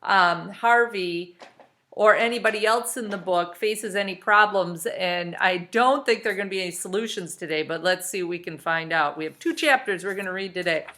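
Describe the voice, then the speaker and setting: A woman reading a storybook aloud as a teacher, in an expressive narrating style. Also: medium pitch, moderate pace.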